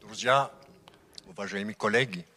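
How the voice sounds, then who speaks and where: A man who is a native French speaker gives a conference speech in rhetorical, animated phrases, pausing for an interpreter. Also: fairly low pitch. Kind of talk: formal speech with pauses for an interpreter.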